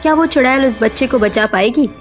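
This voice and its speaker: A woman's voice: high voice, fairly quick, soft background music. A woman narrator reading a scripted cartoon story.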